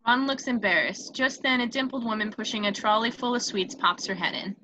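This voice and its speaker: Bright-voiced woman reading screenplay narration. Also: fairly high pitch, a little fast, low-quality recording. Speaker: stage-direction narrator. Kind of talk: scripted narration at a table read. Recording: noticeable background noise on home mic.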